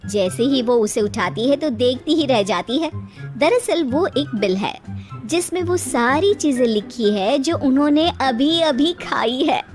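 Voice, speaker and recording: A woman narrates an animated bedtime tale as scripted storytelling. Her voice is high and her pace slow, with faint music playing underneath.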